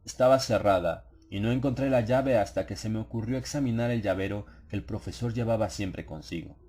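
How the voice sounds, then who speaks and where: A man reading a horror story as an audiobook narrator, in a measured, solemn, dramatized literary delivery. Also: fairly low pitch, warm tone.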